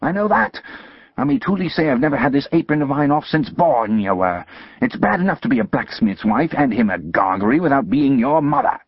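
A man voicing a harsh, scolding blacksmith's wife in a storybook reading, loud and shrill. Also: big pitch swings, a little fast, breathy edge, no echo. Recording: muffled lo-fi recording.

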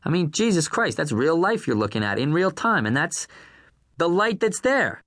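A man's voice: lowish voice, brisk, a bit bright, no room sound, studio quality. A man voicing an irritable television producer who talks in a testy, exasperated way.

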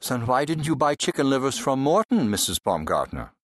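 A man's voice: medium pitch, very animated, a little fast, dry recording, studio quality, pitched below the performer's own voice. A male narrator voicing a good-natured father who runs a butcher shop and jokes customers out of bad moods. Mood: teasing. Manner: easygoing, teasing. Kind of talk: audiobook character voice.

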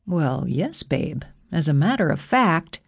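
A woman's voice: low-pitched, big pitch swings, slow, gravelly, husky, exaggerated voice. A woman voicing an affectionate, teasing young male god in an audiobook, with a laid-back, reassuring manner.

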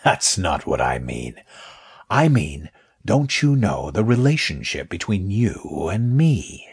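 Man voicing a slow, sly, low-pitched snake. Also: big pitch swings, creaky, slightly rough, no echo, clean studio sound, exaggerated voice. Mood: sly, knowing. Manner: slow, drawn-out, sometimes whispery speech. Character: large boa constrictor snake, sly, unhurried, amused male. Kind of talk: children's audiobook character.

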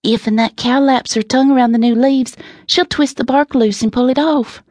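Woman voicing a sweet high-pitched Southern little girl. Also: no room sound, close to an everyday voice. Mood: lively, warning. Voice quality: slow, soft, sweet. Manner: rustic dialect, drawling. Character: seven-year-old country girl, knowing and unafraid. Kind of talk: audiobook character reading.

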